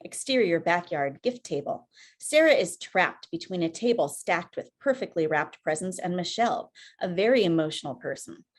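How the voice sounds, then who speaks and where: A woman's voice: lowish voice, lively intonation, normal speed. A woman reading scripted stage directions as narrator at a table read over video call.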